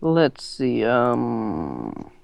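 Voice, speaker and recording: A man's voice: mid-range voice, huge pitch swings, drawn-out words, gravelly. A man playing a tabletop game, thinking aloud casually and hesitantly.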